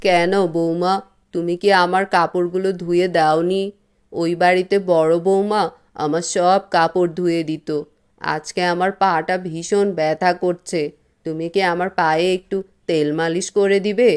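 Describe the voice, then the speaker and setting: A woman's voice: fairly low pitch, fairly even intonation, unhurried, smooth, natural voice. A woman voicing a plaintive, remorseful elderly mother-in-law in a cartoon moral story. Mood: complaining.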